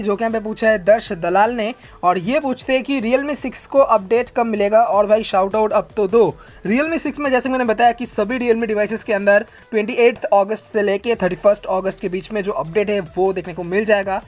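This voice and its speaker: A man talks as a tech vlogger. He takes viewer questions informally, speaking to listeners like brothers and friends. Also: high voice. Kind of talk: casual question-and-answer vlog.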